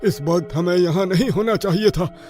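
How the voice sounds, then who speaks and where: A man plays a wary village bus driver in a spooky cartoon tale. The voice is high, anxious and bossy, full of urgent warnings. Soft music sits behind it. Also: normal speed, lightly acted.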